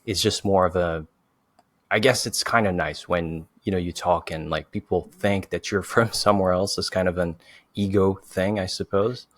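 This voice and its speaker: A man hosting a conversation, speaking English as a second language with an acquired American accent, relaxed and measured. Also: low-pitched, dry recording. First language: not English. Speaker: host. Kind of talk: casual conversation.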